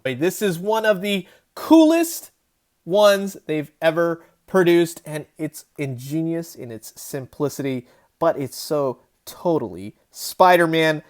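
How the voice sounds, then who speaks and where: A man in his thirties giving a casual, enthusiastic toy review, chatty and emphatic as the host. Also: on the high side.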